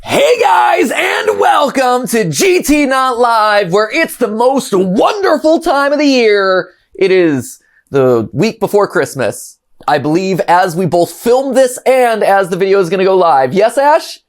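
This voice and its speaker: A man's voice: high voice, lively intonation, a little slow. A man from Ohio in his thirties, hosting a casual reaction show and rambling animatedly, with frequent restarts and chuckles. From Ohio, USA. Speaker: host.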